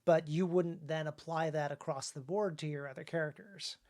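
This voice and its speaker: A man hosting a casual discussion about craft, speaking haltingly and often repeating words as he thinks. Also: on the high side, steady tone, a little mellow. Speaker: podcast host and author.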